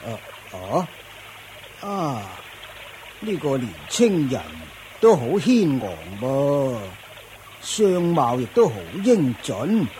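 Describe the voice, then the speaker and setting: A man playing a pompous, strict master of forest ascetics in a radio drama, lecturing with drawn-out grunts and fillers. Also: on the high side, big pitch swings, dark tone, slight background noise. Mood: musing. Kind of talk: Cantonese Buddhist radio drama acting.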